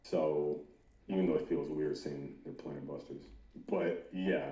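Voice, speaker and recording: A man's voice: rumbling low, steady tone, measured pace, warm tone. A man hosting a podcast in casual, slangy chat, often saying bro. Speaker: podcast host. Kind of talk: casual chat about anime. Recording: roomy echo with background noise.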